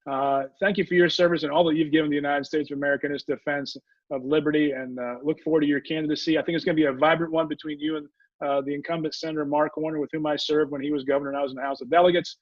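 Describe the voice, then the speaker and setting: An older man hosting a radio talk show, speaking in halting, rambling sentences full of fillers. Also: on the high side, level tone, dry recording. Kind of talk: radio interview chat. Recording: muffled dry audio.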